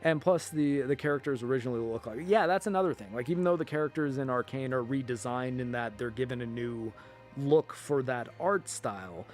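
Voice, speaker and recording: A man hosting a video, giving casual, rambling film and TV commentary in an informal, emphatic way, often halting mid-thought. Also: mid-range voice, a little mellow, soft background music. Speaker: video host.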